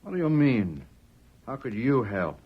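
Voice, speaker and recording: An actor playing a greedy, domineering swindler in a 1940s radio western, calculating and bossy. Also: male voice, mid-range voice, big pitch swings, measured pace, slightly rough. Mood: cunning. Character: scheming, greedy, bossy Eastern swindler villain. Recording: dull, muffled old radio recording.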